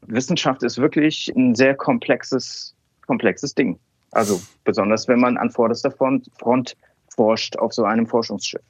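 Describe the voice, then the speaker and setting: A man's voice: medium pitch, a little slow, breathy edge, dull audio. A man from Cologne, a scientist, telling stories in an interview with many fillers and restarts. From Cologne, Germany. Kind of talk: relaxed conversational interview.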